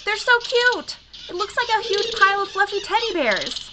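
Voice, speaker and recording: A woman's voice: very high voice, big pitch swings, moderate pace. A woman playing a perky, blunt, trigger-happy young space adventurer in a casual sci-fi audio comedy. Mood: delighted. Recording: loud background noise with clicks.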